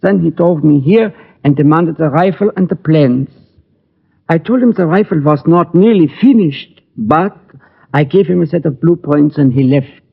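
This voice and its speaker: Older gunsmith uncle, nervous and formal, gravelly, slightly high, muffled vintage radio drama. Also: male voice, normal speed. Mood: anxious, confiding. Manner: formal, hesitant, pleading. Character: anxious, secretive older male gunsmith uncle, apologetic. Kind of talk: 1940s radio drama acting. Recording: muffled old radio recording.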